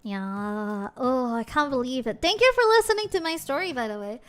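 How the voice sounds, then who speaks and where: Woman streamer, high voice, chatty and giggly, faint music behind. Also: lively intonation, moderate pace, a bit bright. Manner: chatty, giggly, switches between English and Japanese. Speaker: VTuber streamer. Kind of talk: casual live-stream chat.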